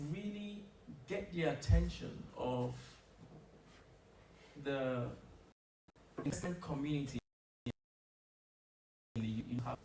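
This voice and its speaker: A man on a discussion panel giving measured, explanatory business advice, with some restarts. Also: fairly high pitch, warm tone. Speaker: panelist, founder. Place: hall at a community event. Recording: echoey room from far off, noisy.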